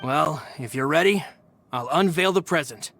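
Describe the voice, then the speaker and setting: A man's voice: a little high, moderate pace, creaky, fairly bright tone. An actor playing a polite, loyal male attendant who speaks formally in a game. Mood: courteous. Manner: formal, courteous. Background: game soundtrack music.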